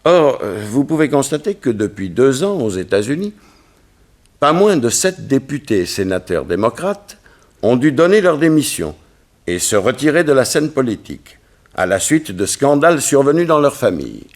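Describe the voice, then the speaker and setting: A man's voice: medium pitch, very animated, moderate pace. A man playing a middle-aged, troubled and dignified politician, in the formal, earnest manner of 1960s French radio drama. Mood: earnest, indignant. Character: troubled, dignified politician father of a teenage son.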